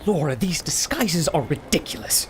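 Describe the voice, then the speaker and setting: A man's voice: a little high, sing-song, normal speed, heavy background noise. An actor playing a fussy, anxious male scholar sidekick in a radio drama.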